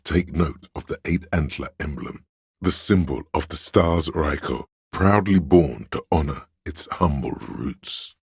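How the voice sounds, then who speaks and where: A man voicing a know-it-all inner voice of encyclopedic trivia in a video game, measured, pedantic and dryly wry. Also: a bass voice, breathy edge, dry recording, clean studio sound, heavily acted.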